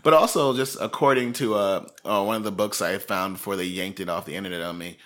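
A man with a fairly low voice and a bright, forward tone chats about a true-crime case. He rambles loosely, full of "like" and "um," with easy laughs between thoughts. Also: quick pace. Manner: chatty, rambling, lots of fillers and laughs. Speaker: podcast co-host. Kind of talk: casual true-crime chat.

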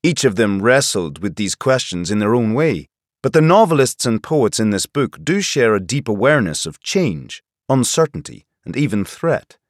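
A man reading literary nonfiction as an audiobook narrator, with a measured, polished read-aloud delivery. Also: medium pitch, sing-song, no room sound, studio quality.

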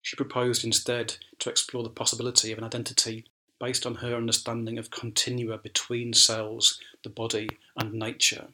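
A man's voice: mid-range voice, monotone, normal speed, pushed and bright, poor audio. A man narrates a scripted academic essay in a formal, scholarly manner. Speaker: academic speaker.

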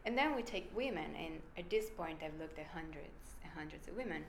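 A woman's voice: deep voice, animated, a little fast, gravelly, roomy sound, a little hiss or hum. A woman with an Italian accent, a researcher explaining her work in an emphatic, conversational interview. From Italy. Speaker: brain researcher guest.

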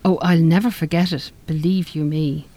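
A woman's voice: low voice, fairly even intonation, moderate pace, dark tone. A woman playing a guarded, wry survivor of violence in a live radio play. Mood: evasive, wry. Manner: evasive, wry.

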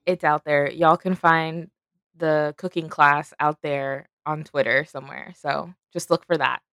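Chatty young woman, fairly low voice. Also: fairly even intonation, unhurried, fairly bright tone, no room sound, clean studio sound. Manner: chatty, uses lots of "like" and "y'all". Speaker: podcast co-host. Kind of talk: casual chat.

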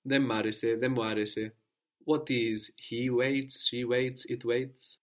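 Male language tutor, patient and explanatory, mid-pitched voice. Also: steady tone, slow pace, fairly bright tone. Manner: patient, explanatory, prompting with questions. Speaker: language teacher. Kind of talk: audio language lesson.

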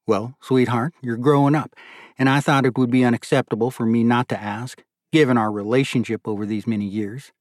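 A man voicing a gentle, fatherly older gentleman in an audiobook, measured and wry. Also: medium pitch, steady tone, dry recording, clean studio sound, lightly acted. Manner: measured, kindly, wry, formal wording.

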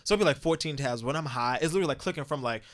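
A man chatting casually with friends, telling joking stories in an animated way. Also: medium pitch, very fast. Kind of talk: casual group chat.

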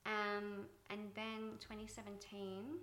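Soft-voiced woman, bright and gravelly. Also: mid-range voice, completely flat delivery, takes it slowly, echoey room. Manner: thoughtful, many fillers and restarts. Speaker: guest, TV director. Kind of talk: reflective interview talk.

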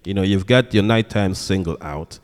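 A man hosting a live interview, lively with many short affirmations. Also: low-pitched, moderate pace, big-room sound. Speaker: radio host.

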